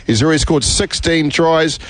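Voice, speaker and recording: A man's voice: fairly high pitch, normal speed, fairly bright tone. A man with a New Zealand accent hosting a sports radio show, chummy and upbeat with his guest.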